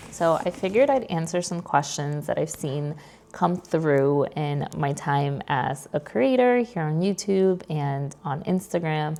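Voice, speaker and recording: A woman's voice: sits low, creaky, a little mellow, a little hiss or hum. A woman, chatting casually to camera as a vlogger sharing personal updates. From New York. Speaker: vlogger, content creator.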